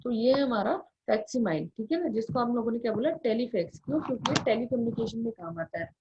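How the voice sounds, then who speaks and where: A woman's voice: a little high, lively intonation, fast talker, darkish tone, breathy edge. An Indian woman giving an explanatory lecture, going step by step with frequent check-in tags, in a dull lo-fi recording. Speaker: engineering lecturer. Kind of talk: explanatory classroom lecture mixing Hindi with English terms.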